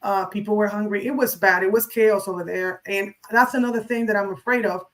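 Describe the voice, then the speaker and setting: A woman's voice: mid-range voice, fairly even intonation, fairly quick, a bit bright. Emphatic woman. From San Juan, Puerto Rico. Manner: emphatic, opinionated, direct to the listener. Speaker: interview guest, social media crypto educator. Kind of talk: conversational interview.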